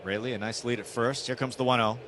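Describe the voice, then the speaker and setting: A man's voice: mid-range voice, steady tone, fast. A man doing live baseball play-by-play on the radio in short, clipped phrases. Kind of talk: live radio sports commentary. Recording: noisy live ballpark radio broadcast. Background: outdoor stadium ambience.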